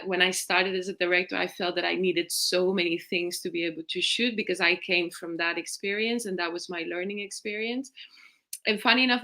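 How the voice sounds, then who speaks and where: A woman from Spain, a film director, talking reflectively about her short film on a video call, with many restarts and soft laughs. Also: fairly low pitch. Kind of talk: festival Q&A interview over video call.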